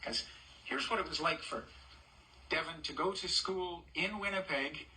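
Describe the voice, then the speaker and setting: A man's voice: fairly high pitch, measured pace, pushed and bright, slight background noise, low-quality recording. An older man reading a picture book aloud to children, breaking off to chat, ask questions and point out the pictures. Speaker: storybook reader.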